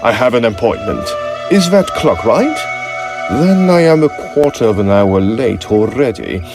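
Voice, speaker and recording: Guy acting as shifty young victorian student, guarded but polite, up and down pitch, music clearly playing under him. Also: big pitch swings, measured pace. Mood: evasive politeness. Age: young adult. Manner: guarded, excusing, formally polite. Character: secretive, evasive young Oxford student hiding something. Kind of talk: Victorian audio drama dialogue.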